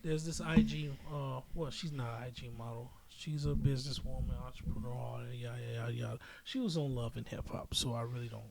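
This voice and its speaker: A man's voice: mid-range voice, steady tone, fairly quick, creaky, hardly any pauses, crowd noise. A man hosting a casual podcast, talking in slangy, emphatic, opinionated style and repeating himself for effect. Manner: emphatic, slangy storytelling with repetition. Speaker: podcast host.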